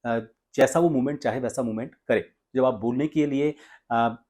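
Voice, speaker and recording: An Indian man speaks as a voice coach in an instructional tutorial. His voice sits at a middle pitch. He explains each step deliberately, often stopping mid-sentence before carrying on. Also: warm tone, husky. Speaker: voice coach and presenter.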